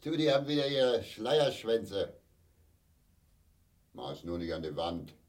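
A man's voice: fairly low pitch, very animated, unhurried, distant mic. An actor playing a domineering old family father in a grotesque radio drama, grumbling and barking coarsely. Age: older. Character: gruff, domineering old family patriarch glued to the television.

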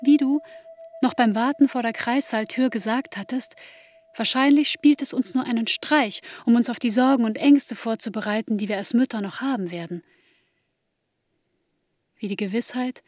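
A woman acting as an intimate, grieving narrator in a literary radio play, speaking in a slow, measured way to a bereaved mother. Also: a little high, a little mellow, no room sound, close to an everyday voice. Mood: quiet grief. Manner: slow, measured, intimate second-person delivery.

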